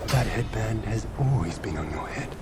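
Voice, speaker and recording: An actor playing a brooding, defiant, scornful warrior in a game, speaking in a hushed way. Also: male voice, on the low side, expressive, moderate pace, close to an everyday voice. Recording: loud stormy noise behind.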